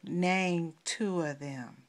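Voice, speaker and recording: A woman voicing a patient, solemn male gatekeeper who questions children deliberately in a bedtime story. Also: on the low side. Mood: measured, questioning.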